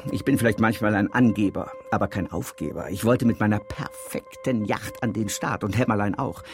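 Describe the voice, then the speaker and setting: An actor in a radio drama playing a boastful, driven campaign executive plagued by pain, speaking in clipped, slogan-like lists. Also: male voice, fairly low pitch, close to an everyday voice. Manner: self-important, clipped slogan-like enumerations. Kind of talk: contemporary radio drama monologue.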